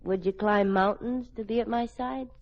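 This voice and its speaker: An actress playing a playful, scheming sitcom wife in an old radio comedy, with a coy, wheedling delivery. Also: female voice, medium pitch, steady tone, normal speed, close to an everyday voice. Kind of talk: 1940s-50s radio sitcom acting. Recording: dull old radio recording.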